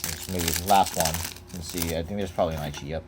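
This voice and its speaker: A man's voice: low voice, quick pace. A young man casually chatting while unboxing trading cards at home, rambling with lots of fillers. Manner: rambling, relaxed, many fillers. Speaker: card collector unboxing. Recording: noisy home recording. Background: crinkling of packaging.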